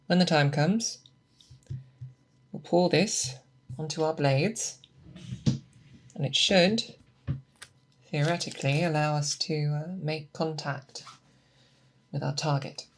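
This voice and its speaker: Asmr performer doing a calm seasoned monster hunter mentor, really slow talking, patient, teaching the apprentice. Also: medium pitch, slight background noise. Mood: calm, instructive. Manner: slow, hesitant, instructive, frequent check-ins. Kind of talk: ASMR roleplay, scripted.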